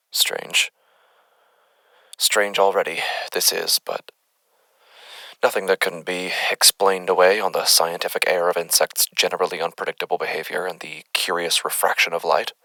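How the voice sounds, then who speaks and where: An unsettled male doctor played by an actor records paranormal findings for a horror audio drama. The voice is very deep and creaky, recorded close and dry. He speaks in wordy, deliberate formal phrases with halting breaks. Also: pushed and bright, dry recording, full character voice. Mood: clinical, intrigued. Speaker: investigator narrator. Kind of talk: horror audio drama monologue, formal dictated case log.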